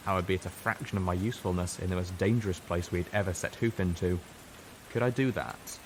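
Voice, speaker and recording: A man's voice: low voice, slight background noise, rough recording. A man reading a scripted first-person story as a dramatic narrator. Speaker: audiobook reader.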